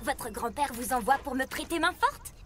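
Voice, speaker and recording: High, animated cartoon painter truck. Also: female voice, moderate pace, background noise. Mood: cheerful. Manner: exclamatory, instructive. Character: bubbly, encouraging adult truck painter, proud teacher. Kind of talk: children's cartoon dubbing. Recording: loud music under the voice.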